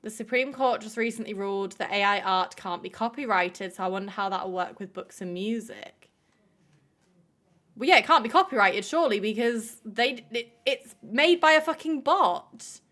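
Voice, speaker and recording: A woman's voice: mid-range voice, animated, moderate pace, a bit bright. A woman hosting a live stream, chatting casually with viewers, filling her talk with "like" and swearing freely. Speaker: livestream host.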